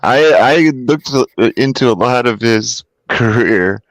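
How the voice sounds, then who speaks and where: A man co-hosting a podcast, chatting casually with dry deadpan jokes. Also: medium pitch, slow pace, no echo. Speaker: podcast co-host.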